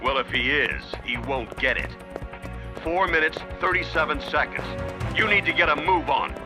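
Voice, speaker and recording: An actor playing a stern, businesslike older colonel in a video game, briefing an agent. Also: male voice, a little high, normal speed, lightly acted. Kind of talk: dramatic video game voice acting. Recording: loud music under the voice.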